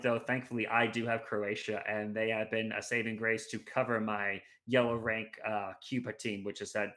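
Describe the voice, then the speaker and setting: A man's voice: mid-range voice, a bit bright. A man in his thirties on a headset, talking sports at home in a casual, analytical way, running through results at length. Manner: talkative, analytical, restarts mid-phrase. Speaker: podcast guest.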